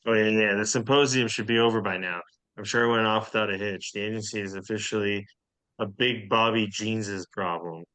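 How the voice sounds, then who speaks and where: A man's voice: on the low side, steady tone, a little fast, a bit bright, lightly acted. A man acting a smug, crude secret agency boss in a comedy table read.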